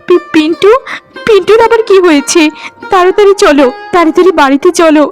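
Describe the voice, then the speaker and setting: An emotional village woman from a cartoon, acted by a woman, with a very high voice. She speaks in a pleading, melodramatic way. Music runs behind her. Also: a little fast. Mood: distraught. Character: emotional, remorseful village women of one family. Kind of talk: Bengali cartoon voice acting.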